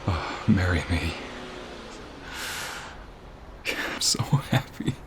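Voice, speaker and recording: A man's voice: deep voice, slow. A man playing a devoted, sentimental boyfriend in a romantic ASMR roleplay, speaking intimately and earnestly. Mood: devoted, happy. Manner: intimate, earnest, often hushed and breathy.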